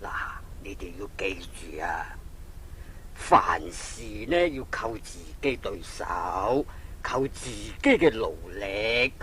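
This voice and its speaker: A man's voice: on the low side, animated, fairly bright tone. An actor playing a patient, resigned elderly father in a Cantonese audio storybook drama from Hong Kong, consoling and moralising with sighs. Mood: solemn advice. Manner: sighing, consoling, deliberate. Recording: old cassette with faint noise.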